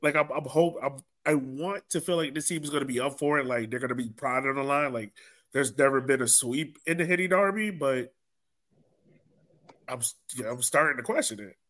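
A man's voice: medium pitch, fairly quick, a bit bright. A man hosting a soccer podcast, talking casually in a rambling way and often repeating himself. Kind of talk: casual sports discussion.

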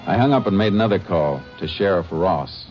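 An actor playing a determined Texas Ranger investigator in a radio drama, speaking and narrating in a clipped, matter-of-fact way. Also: male voice, deep voice, lively intonation, a little fast, faint music behind. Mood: businesslike. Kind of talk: 1950s radio drama acting with first-person narration. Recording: noisy, muffled 1950s radio recording.

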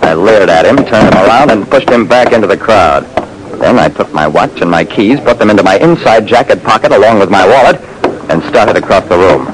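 A man's voice: lowish voice, lively intonation, brisk, background noise. A man narrating as a private detective in old-time radio style, hard-boiled and wry.

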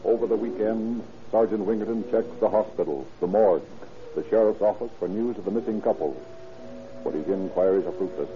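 A man's voice: lowish voice, brisk, faint music behind, natural voice. A man narrating a police case in an old-time radio crime drama, on a scratchy, muffled vintage recording. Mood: grave, matter-of-fact. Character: grave male announcer narrating a police case.

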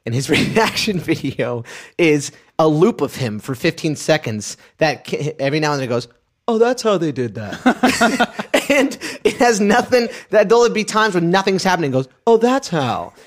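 Animated young man telling stories. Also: on the high side, normal speed. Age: 20s. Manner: animated storyteller, laughs often, acts out quoted voices. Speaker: podcast co-host. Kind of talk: casual podcast chat.

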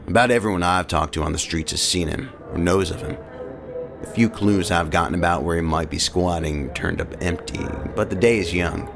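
An actor playing a matter-of-fact investigator reporting in a science fiction audio drama, with a laconic, measured delivery. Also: male voice, low-pitched. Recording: clear background ambience noise.

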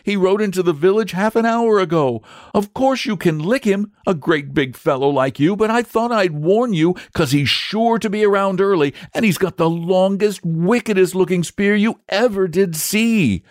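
A man voicing an excitable young boy who talks in a high, eager, pleading way in a storybook reading. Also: moderate pace, hardly any pauses, no echo, studio quality, natural voice. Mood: excited.

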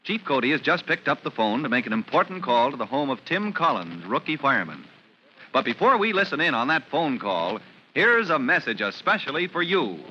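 A man's voice: mid-range voice, sing-song, fairly quick, muffled recording. A man announcing a vintage 1950s radio drama, narrating from a script in a dramatic, declamatory manner. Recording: noisy vintage radio recording.

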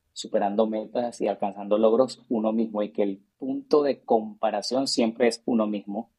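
Husky, earnest Venezuelan man in his thirties. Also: lowish voice, warm tone. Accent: Venezuelan Spanish. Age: 30s. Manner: gracious, earnest, with frequent repeated words and fillers. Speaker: guest singer-songwriter. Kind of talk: reflective interview answers.

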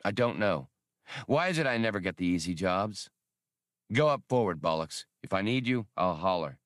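Guy doing a cocky curt space smuggler captain, wry and slangy, low voice, audiobook style. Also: animated, moderate pace, no echo, clean studio sound. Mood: weary. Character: wry, impatient male smuggler starship captain. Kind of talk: audiobook character acting.

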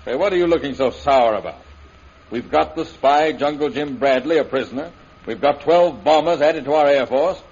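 Husky, gloating warlord villain. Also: male voice, fairly high pitch, normal speed, darkish tone. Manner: mocking, swaggering. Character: gloating male warlord villain plotting to rule China. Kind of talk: 1930s radio acting. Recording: muffled, dull old radio recording.

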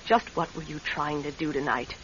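A cold, controlling businesswoman in a vintage radio play. Her voice is low-set and hard-edged, with formal, commanding delivery, on a dull recording with some hiss. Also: fairly even intonation, normal speed, a bit bright. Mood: angry, stern. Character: imperious wealthy businesswoman wife, cold and controlling. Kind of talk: old-time radio drama acting.

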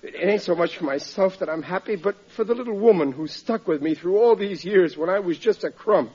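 A man's voice: high voice, a little fast, a little mellow, breathy edge. An American man in middle age acting a blustering, gullible working-class riveter in a classic radio sitcom, exclaiming in ungrammatical speech. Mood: gushing. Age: middle-aged. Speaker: comic lead actor. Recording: muffled vintage radio recording.

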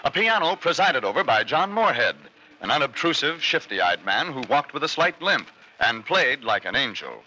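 A man's voice: medium pitch, very animated, normal speed, sharp tone, slight background noise, poor audio. A man narrating a western adventure as a dramatic old-time radio announcer. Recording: old radio broadcast recording.

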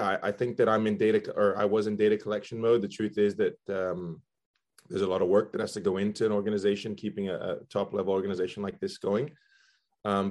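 A man's voice: deep voice, a little mellow. A middle-aged man from Belgium, a soccer executive, answering interview questions thoughtfully with frequent ums. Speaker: guest, soccer general manager.

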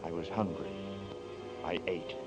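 An actor playing a weary, guarded fugitive in a 1940s noir radio drama, speaking in a halting, understated way. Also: male voice, low voice, over loud music. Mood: weary, reflective. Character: weary, guarded man on the run, feeling his way. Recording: muffled 1940s radio recording.

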